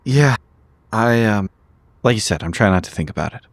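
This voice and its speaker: Male player, lower register, subdued hesitant roleplay, naturalistic, dry recording. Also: lively intonation, moderate pace, dry recording. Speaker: tabletop game player.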